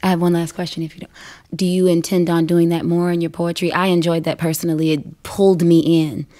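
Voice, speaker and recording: A woman's voice: fairly low pitch, level tone, brisk, warm tone. A young woman hosting a conversational interview, speaking into a studio microphone. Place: wood-panelled recording studio, indoors. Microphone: studio microphone on stand.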